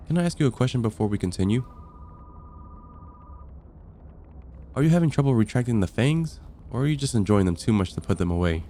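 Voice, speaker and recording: A man playing a friendly, patient vampire caseworker in an ASMR roleplay, explaining things calmly with gentle dry wit. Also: mid-range voice, a little hiss or hum, lightly acted. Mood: amused, reassuring. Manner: calm, explanatory, gently wry. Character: friendly, patient vampire caseworker onboarding a newcomer, dryly amused. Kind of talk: ASMR roleplay, conversational acting.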